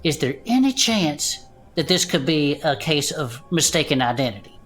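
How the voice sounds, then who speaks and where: A woman playing a folksy, crude, excitable adventurer who co-hosts a monster-mystery show in an audio drama, colloquial and exclamatory. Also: low voice, normal speed. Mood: folksy, probing. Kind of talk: audio drama acting as a chatty fantasy podcast co-host.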